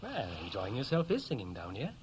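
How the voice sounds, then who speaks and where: A restless young Welshman in a sixties radio drama. His pitch swings freely as he hesitates and corrects himself, half shy and half boastful. The old recording carries clear background noise. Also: huge pitch swings, a little fast. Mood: casual, animated. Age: young adult. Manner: hesitant, colloquial, self-correcting. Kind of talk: 1960s radio play acting, naturalistic dialogue. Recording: noisy vintage 1960s radio recording.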